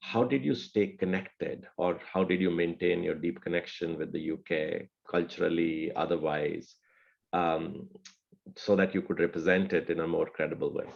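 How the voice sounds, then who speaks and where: Deep gravelly male moderator, lo-fi. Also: steady tone, normal speed. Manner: polite, relaying audience questions. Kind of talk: online panel moderation.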